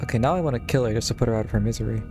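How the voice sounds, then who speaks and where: A man's voice: medium pitch, rapid-fire. A man giving casual live commentary as he plays a game, thinking aloud, with faint music behind him. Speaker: game streamer.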